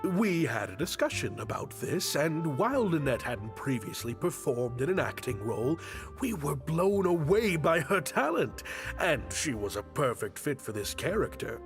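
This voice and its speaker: A man's voice: on the high side, sing-song, moderate pace. A man voicing a gracious, modest male theater director. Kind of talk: game voice acting. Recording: game dialogue with soft music behind.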